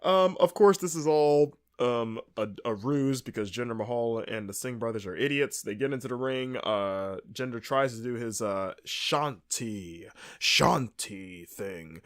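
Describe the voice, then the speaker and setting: A man with a stuffy, congested voice, casually recapping a wrestling show as podcast host in a rambling, wry, sarcastic way. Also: mid-range voice. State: congested, with sniffles.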